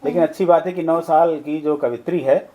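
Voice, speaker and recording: A man's voice: a little high, steady tone, moderate pace, lo-fi sound. A man hosting a casual interview podcast in a teasing, jovial manner, recorded with some faint background noise. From Awadh, north India. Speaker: podcast host.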